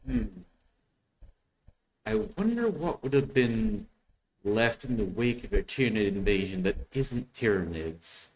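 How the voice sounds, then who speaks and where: A man's voice: fairly low pitch, big pitch swings, unhurried, rough recording. A man giving casual reaction commentary, musing and hesitant as he thinks aloud. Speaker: reactor commenting on an audio drama.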